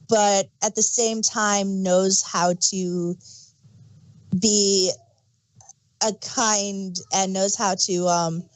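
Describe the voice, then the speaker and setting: Slow-paced woman in casual review chat. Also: medium pitch, no echo, low-quality recording. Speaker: audio drama review podcast host.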